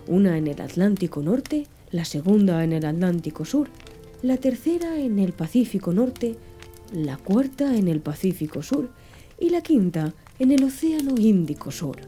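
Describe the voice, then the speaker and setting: A woman narrating an audiobook in a soft, measured ASMR style. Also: mid-range voice. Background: faint music.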